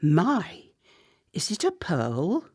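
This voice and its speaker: A woman acting a discreet, ambitious campaign manager in a radio play, controlled, deferential and deliberate. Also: lowish voice, wildly swooping pitch, mellow, husky, full character voice. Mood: awed, hushed.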